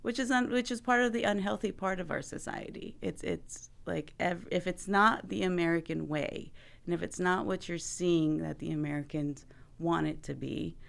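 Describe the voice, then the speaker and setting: Gravelly low-voiced woman therapist host. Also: steady tone, normal speed. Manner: chatty, heavy use of "like", laughs often. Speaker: host, marriage and family therapist. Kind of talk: casual podcast conversation.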